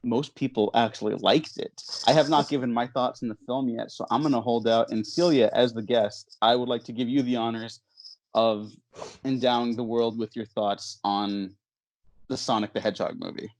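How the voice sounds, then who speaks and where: A man's voice: mid-range voice, fairly even intonation, moderate pace, a little mellow, no room sound. A man hosting a podcast casually, with a wry, playfully mock-formal way of talking. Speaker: podcast host.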